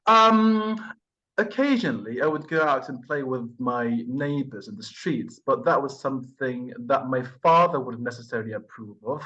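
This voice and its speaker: A man in his thirties from Libya answers exam questions at an even pitch. He weighs his words, restarts sentences and fills pauses with ums. The audio is dull and lo-fi, like a video call. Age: 30s. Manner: hesitant, thoughtful, many fillers and restarts. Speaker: IELTS test candidate and channel host. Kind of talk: mock speaking exam over video call.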